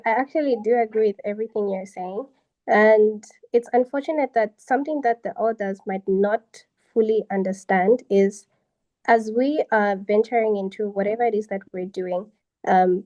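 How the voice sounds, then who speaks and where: A young woman moderating a conversational panel, speaking in a measured way with hesitant pauses. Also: mid-range voice, dark tone. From Zambia.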